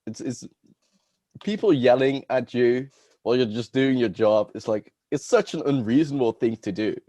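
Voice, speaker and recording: A man's voice: mid-range voice, moderate pace, mellow, no room sound. A Dutch man with a Dutch accent, a guest on a podcast, chatting in an easygoing way and laughing along. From Netherlands. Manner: easygoing, laughs along, short agreeing replies. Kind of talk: casual interview chat.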